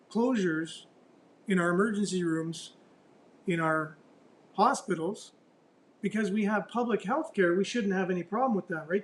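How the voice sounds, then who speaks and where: A man hosts a casual morning news talk show, close to his studio mic. His voice sits high and carries loudly as he rambles through the headlines and throws rhetorical questions at his co-host. A faint low rumble runs underneath. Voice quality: loud voice. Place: radio studio.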